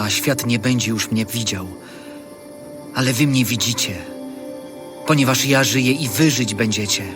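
Actor as gentle solemn Jesus over music. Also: male voice, medium pitch, lightly acted. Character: Jesus, gentle solemn teacher promising care to followers. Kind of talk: dramatized audio Bible reading. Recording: music behind the voice.

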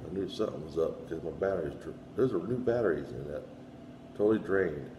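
A man's voice: sits low, lively intonation, quick pace. A middle-aged man hosting a paranormal investigation, talking off the cuff and putting questions aloud to unseen spirits in a bare room. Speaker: paranormal investigator, show host. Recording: echoey room with light background hum.

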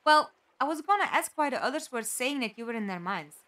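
Woman as high-voiced, inquisitive plucky companion. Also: expressive, a little fast, bright, forceful tone. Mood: curious, probing. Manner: questioning, direct. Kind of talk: audio drama acting.

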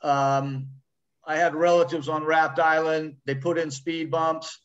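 A man's voice: on the high side, flat delivery, unhurried. A man making public comment at a council meeting, rambling conversationally with you know and um. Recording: dull lo-fi audio line.

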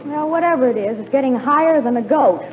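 High-voiced sarcastic niece. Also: female voice, expressive, fast, far from the mic. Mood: sarcastic, exasperated. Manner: tart, sarcastic. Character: sarcastic, exasperated young woman, the niece. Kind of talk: 1940s radio comedy acting. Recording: tinny, echoey, noisy 1940s radio, off mic.

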